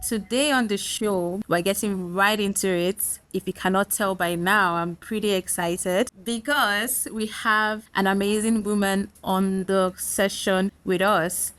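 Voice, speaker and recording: This is an upbeat Nigerian woman hosting a podcast interview in a chatty, friendly way. Her voice sits at a middle pitch for a woman. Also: fairly even intonation, unhurried, hardly any pauses, a bit bright. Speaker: podcast host.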